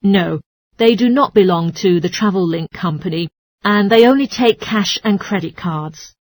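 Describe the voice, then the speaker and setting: A woman's voice: medium pitch, normal speed, no room sound, lightly acted. A woman playing a polite, helpful transport information clerk who explains things in a scripted exercise dialogue. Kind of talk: scripted listening-test dialogue.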